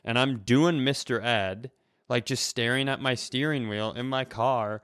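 A man with a mid-pitched voice, recorded close and dry with no room sound. He co-hosts a comedy podcast, rambling and circling his point in a casual, self-deprecating way. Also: unhurried, a bit bright, smooth, dry recording. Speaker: podcast co-host.

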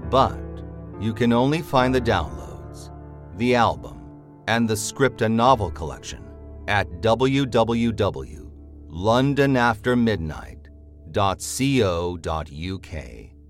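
A male announcer delivers a scripted promotional read. His voice sits on the low side. Music plays clearly underneath him. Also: sing-song, slow.

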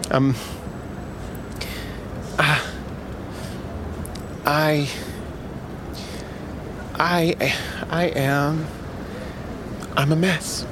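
A man's voice: fairly high pitch, noisy background. A man playing a cocky, dramatic and flustered young space traveler stranded on Earth in an audio drama, theatrical and exasperated. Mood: flustered bravado. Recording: music under the voice.

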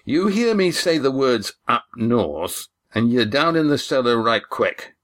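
A man voicing a steady, wary, commanding tavern man with folksy nautical speech in an audiobook. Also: mid-range voice, measured pace, voice pushed up. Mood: urgent. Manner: terse, folksy nautical dialect.

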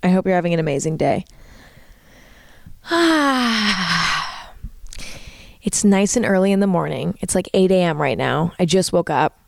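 A woman's voice: lowish voice, animated, a little slow, gravelly, pauses often, dry recording, clean studio sound. A young woman, hosting a podcast in rambling casual chat full of "like" and "um". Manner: chatty, rambling, uses "like" and "um" a lot. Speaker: podcast host.

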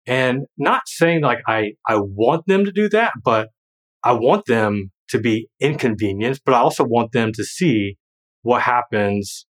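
A man's voice: mid-range voice, expressive, a little slow, poor audio. A man in his thirties talking casually in a podcast conversation, rambling with many fillers and restarts and laughing often. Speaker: guest, former financial planner and creator.

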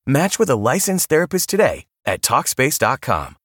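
A man's voice: medium pitch, big pitch swings, moderate pace, fairly bright tone, no room sound, clean studio sound. A man reading a scripted advertisement as an announcer. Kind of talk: scripted ad read.

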